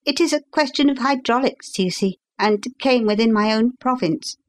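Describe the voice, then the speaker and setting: Brief: woman doing a pale young gentleman engineer, shaken and agitated, quick and strained, for a storybook reading. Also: on the high side, pitched above the performer's own voice, lightly acted.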